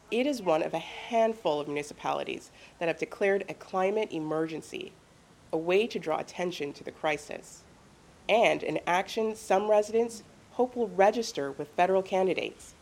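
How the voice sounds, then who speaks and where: Woman reporting the news in a low voice. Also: darkish tone. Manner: measured, clear reporting delivery. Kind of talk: scripted news narration.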